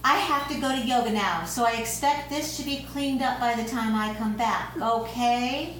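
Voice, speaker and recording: A woman's voice: fairly high pitch, moderate pace, fairly bright tone, lightly acted. A woman playing a firm, fed-up mother scolding her young son in an amateur script read. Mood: firm, impatient. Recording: very echoey room, distant mic, hiss.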